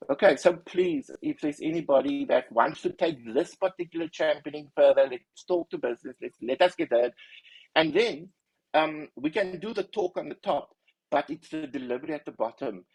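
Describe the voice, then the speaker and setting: A man's voice: fairly high pitch, normal speed, darkish tone, husky, dry recording, low-quality recording. A man presenting at an online webinar, earnest and urging, in an informal way. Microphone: video call.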